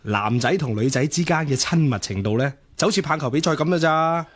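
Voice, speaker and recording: A man's voice: fairly high pitch, animated, fairly quick. An actor with a Hong Kong Cantonese accent playing a cocky, flippant young student womanizer in radio drama dialogue, teasing and dispensing mock wisdom. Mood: sly, teasing.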